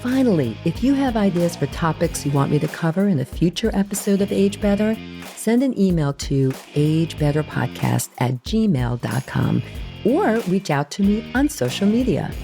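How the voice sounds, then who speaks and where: A woman's voice: on the low side, big pitch swings, normal speed, creaky, warm tone, faint music behind. A middle-aged woman hosting an interview podcast in a conversational, effusive and encouraging manner. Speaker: podcast host.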